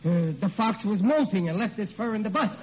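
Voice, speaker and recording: An actor playing a flustered, blustery, stammering working-class husband in a vintage radio sitcom. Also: male voice, high-pitched, expressive, brisk. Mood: blustering, defensive. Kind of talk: 1940s radio sitcom acting. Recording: muffled, noisy old radio recording.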